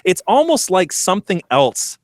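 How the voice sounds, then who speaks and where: A man's voice: high-pitched, wildly swooping pitch, moderate pace, dry recording, clean studio sound. A man hosting a podcast, talking about a film in an easy, enthusiastic way and laughing often. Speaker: podcast host. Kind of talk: casual movie discussion.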